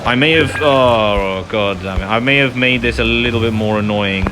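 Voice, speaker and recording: A man's voice: lowish voice, normal speed, background noise. A man giving casual, self-deprecating and apologetic commentary while playing a video game. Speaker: gamer narrating a playthrough. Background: loud video game music.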